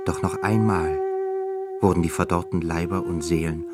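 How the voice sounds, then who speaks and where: A man narrating a radio drama in a solemn, measured way. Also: sits low, fairly even intonation, heavy background noise.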